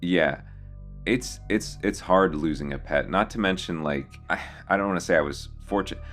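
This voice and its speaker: A man giving casual reaction commentary as a host, musing aloud in a halting way. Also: low-pitched, fairly bright tone, soft background music. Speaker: host reacting to horror videos.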